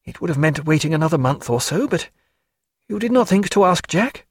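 A man's voice: fairly high pitch, animated, fairly quick, slightly rough, dry recording, clean studio sound, pitched above the performer's own voice. A man voicing a proud, feverish ship's surgeon who speaks tenderly and wryly.

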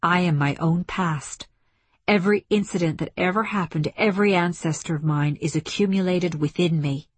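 A narrator, a woman, plays an impassioned female delegate in a science fiction audiobook. She declaims earnestly and emphatically, with a husky edge and a dry, close sound. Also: fairly low pitch, moderate pace, no echo. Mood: urgent, insistent. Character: intense, impassioned woman delegate awakening to alien insight.

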